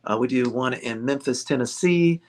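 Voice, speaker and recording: A man's voice: medium pitch, moderate pace, a little mellow. A man, a ukulele shop owner being interviewed, chatting casually and joking with frequent laughter. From United States. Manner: jokey, laughs often. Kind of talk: casual chat.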